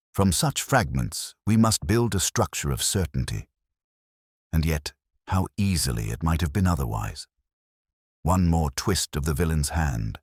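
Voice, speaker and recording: A man's voice: extremely low pitch, slightly rough, no room sound, studio quality, heavily acted. A man voicing a cerebral detective who speaks in a grave, didactic way with formal Victorian phrasing.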